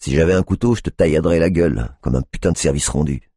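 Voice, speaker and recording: A man's voice: fairly low pitch, expressive, a little fast, mellow, breathy edge, no echo, studio quality, pitched above the performer's own voice. A man putting on a jittery, foul-mouthed addicted woman. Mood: hostile. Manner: mocking, aggressive, swearing. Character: agitated drug-addicted female sex worker, foul-mouthed, hostile.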